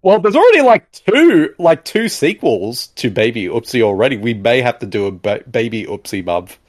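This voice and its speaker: A male podcast host talking over a film in casual commentary. His pitch rises and falls a lot, and he laughs often. He keeps answering his co-host with "indeed" and "exactly.". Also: sing-song, moderate pace.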